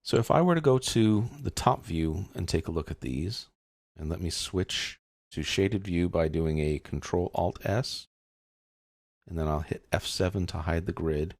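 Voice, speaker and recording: A man with a low voice and a gravelly fry narrates a software tutorial. He explains each step methodically in casual language. The sound is dry and close. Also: measured pace, no room sound.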